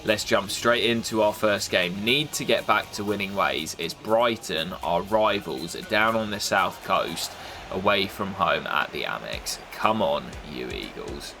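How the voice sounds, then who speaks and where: A young man with a nasal voice from a cold, commentating his football game in a casual play-by-play that jumps up excitedly at big moments. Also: a little slow, gravelly, soft background music. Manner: excitable, bursts up in pitch at goals and misses. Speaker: gaming YouTuber commentating his career mode.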